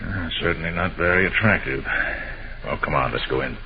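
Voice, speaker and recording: An actor playing a calm, commanding male private detective in a 1940s-style radio drama, brisk and authoritative. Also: a bass voice. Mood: low, wary. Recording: noisy, dull old-time radio recording.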